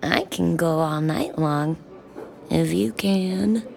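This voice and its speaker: An actress playing a flirtatious, good-natured small-town woman in an audio drama, teasing and coaxing in a creaky voice. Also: lowish voice, slow pace, darkish tone. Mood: flirty, coaxing.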